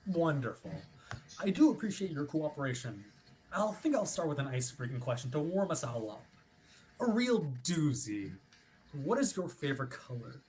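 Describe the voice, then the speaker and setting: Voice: medium pitch, sing-song, moderate pace, a little mellow, natural voice, low-quality recording. An actor in a staged play reading playing a chipper, rule-bound ship officer who is formal, wordy and politely stubborn. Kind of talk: staged reading of a play over video stream.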